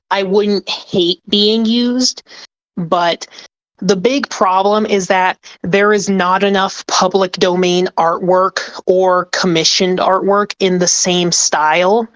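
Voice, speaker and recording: A woman's voice: mid-range voice, dry recording, poor audio. A woman on a group podcast talking about her work as an ASMR creator, earnest and rambling, with many fillers. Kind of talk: casual group discussion.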